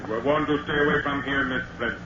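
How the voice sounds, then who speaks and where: A man's voice: mid-range voice, steady tone, fast, close to an everyday voice. A man playing a menacing island villain in an old radio drama, formal and coldly threatening. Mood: cold menace. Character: menacing male villain posing as island shark god. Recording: roomy, muffled, very noisy old radio recording.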